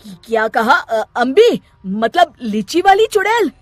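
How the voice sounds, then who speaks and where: A woman voicing a stern, easily alarmed village father in a cartoon story, speaking loudly. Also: sits high, very animated, a little slow, no room sound, soft background music, exaggerated voice. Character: startled, stern village father, adult man.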